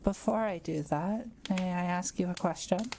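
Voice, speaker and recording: A woman playing a kind, thoughtful witch who is proud of her skill, speaking gently and a little hesitantly to the listener in an intimate audio roleplay. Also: fairly low pitch, moderate pace, slight background noise, close to an everyday voice. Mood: gentle, curious. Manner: gentle, hesitant, explanatory musing with small hums.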